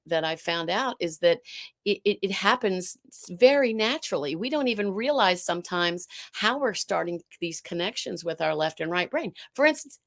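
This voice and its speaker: A woman guest and art teacher with a low alto voice, talking in a casual conversation, animated and full of restarts. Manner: animated, storytelling, frequent restarts.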